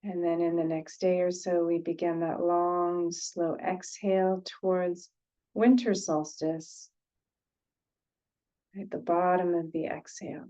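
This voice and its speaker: A woman leading an unscripted guided meditation as a teacher, gently, with pauses, repeated phrases and sentences that trail off. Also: lowish voice, flat delivery, lo-fi sound.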